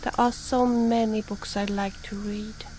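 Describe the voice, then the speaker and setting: A woman's voice: medium pitch, little pitch movement, unhurried, darkish tone, a little hiss or hum, lightly acted, poor audio. A woman voicing a friendly, bookish young adventuring companion in a video game. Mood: wistful, chatty.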